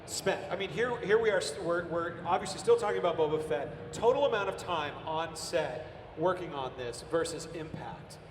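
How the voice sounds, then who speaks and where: An American man hosting a convention panel, asking questions eagerly with energetic restarts. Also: fairly high pitch, moderate pace, few pauses, noisy background. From United States. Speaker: panel host. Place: large convention hall stage. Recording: roomy echoing hall, distant from the mic, audible crowd ambience.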